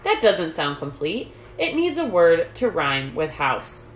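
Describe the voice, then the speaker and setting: A woman's voice: on the low side, sing-song, a little slow. Woman reading as a fretful storybook father, echoey room. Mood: fretful, deliberating. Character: earnest, fretful storybook father, adult man. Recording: roomy echo, light background noise.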